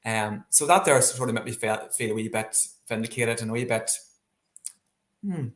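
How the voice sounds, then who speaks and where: A man's voice: medium pitch, moderate pace. A man with a Northern Irish accent telling personal stories as an interview guest, chatty and enthusiastic. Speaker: interview guest, landscape painter. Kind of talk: casual interview, personal storytelling. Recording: echoey, roomy sound.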